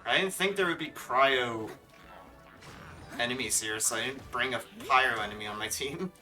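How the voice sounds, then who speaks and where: A man with a very bright, sharp voice gives casual, grumbling game commentary to his stream. He sits a little away from the mic, with game music faintly behind him. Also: mid-range voice, normal speed, far from the mic. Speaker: livestreamer playing a video game. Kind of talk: casual gameplay commentary to chat. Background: video game music.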